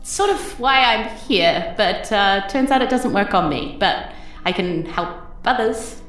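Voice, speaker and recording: A woman's voice: medium pitch, lively intonation, unhurried, a bit bright, echoey room, recorded from a distance, soft background music. A woman with a New Zealand accent voicing a polite, apologetic, cursed female wind spirit in a tabletop game.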